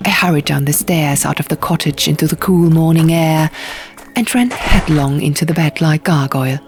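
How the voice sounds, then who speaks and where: A woman's voice: sits low, moderate pace. A woman reading literary fiction aloud as an audiobook narrator, telling the story in the first person, over music. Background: music and sound effects.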